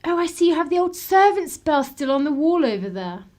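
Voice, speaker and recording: A woman's voice: high-pitched, sing-song, fairly quick, darkish tone. An actress playing a polite, chatty, inquisitive English woman visitor in a radio drama, with a genteel manner and an English accent. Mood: curious.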